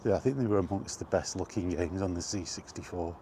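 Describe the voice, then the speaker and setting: A middle-aged man giving a casual, rambling chat as he walks outdoors, in an unhurried, offhand manner. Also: low-pitched, warm tone. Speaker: video game vlogger. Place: outdoors, walking toward a park. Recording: outdoor walking recording with background noise.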